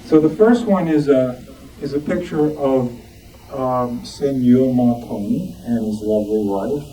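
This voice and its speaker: Male club presenter, informal and hesitant, soft-edged dark tone, recorded off-mic with some background noise. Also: mid-range voice. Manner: hesitant, halting, searching for words. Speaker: club member presenting collection. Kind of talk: informal show-and-tell talk. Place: club meeting room with audience. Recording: distant muffled room mic, background noise.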